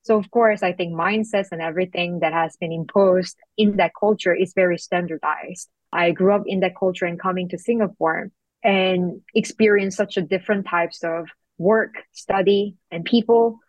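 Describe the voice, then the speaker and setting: A woman's voice: lowish voice, steady tone, moderate pace, no room sound, low-quality recording. A young woman from South Korea, a guest in an interview, speaking thoughtfully and reflectively with frequent fillers. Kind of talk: interview conversation.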